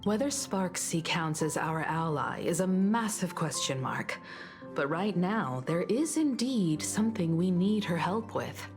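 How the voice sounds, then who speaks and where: A woman playing a composed, calculating strategist in a video game, deliberate and serious. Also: on the low side, animated, over music. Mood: cool, resolute. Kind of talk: scripted video game voice acting.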